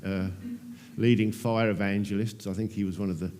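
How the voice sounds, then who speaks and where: A man in his fifties with an English accent, giving a relaxed technical conference talk full of ums and dry self-deprecating asides. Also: on the low side, a little fast. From England. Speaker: conference presenter. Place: large conference hall. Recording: long hall reverb.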